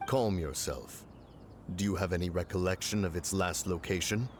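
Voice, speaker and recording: A man playing a calm, scholarly immortal in a video game, speaking in formal, pompous and musing phrases. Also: deep voice, moderate pace, slight background noise, natural voice. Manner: pompous, formal, musing, refers to himself as one. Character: calm, scholarly, self-assured male immortal adeptus. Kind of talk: video game cutscene acting.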